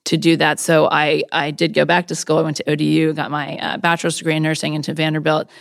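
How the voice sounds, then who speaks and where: Fifties woman from Florida, low-pitched, candidate answering questions earnestly, dry close mic. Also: level tone, quick pace, gravelly, dry recording. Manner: earnest, conversational, frequent restarts. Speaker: political candidate. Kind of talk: interview answers.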